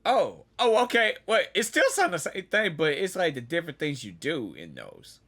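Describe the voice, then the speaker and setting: Casual, excitable woman gamer reacting. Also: lowish voice, moderate pace. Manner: excitable, repeats "like" and "dude". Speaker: gamer reacting to a game on camera.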